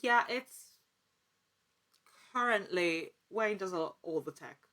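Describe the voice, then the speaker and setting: A woman's voice: mid-range voice, lively intonation, takes it slowly, pushed and bright. A woman speaking as a guest in a casual interview, in a wordy, rambling way that often interrupts itself. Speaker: podcast guest, actor and producer.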